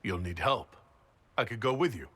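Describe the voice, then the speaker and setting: Earnest hero with husky low voice. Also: male voice, lively intonation, moderate pace, pushed and bright, dry recording. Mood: sincere, concerned. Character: earnest, caring male heroic leader. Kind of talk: dramatic video game dialogue.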